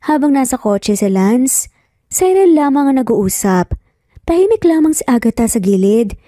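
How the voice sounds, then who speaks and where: A woman's voice: sits high, big pitch swings, moderate pace, dark tone, no room sound, clean studio sound. A Filipino woman reading a scripted romance story as a dramatic narrator.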